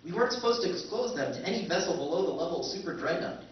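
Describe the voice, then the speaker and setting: A man playing a testy, sardonic human spaceman sidekick in a live 1950s-style radio play, animated and sarcastic. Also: high voice, rapid-fire. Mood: anxious. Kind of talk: live recreation of 1950s radio science fiction acting. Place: live stage at a convention, indoors. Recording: echoey, distant, dull noisy convention hall.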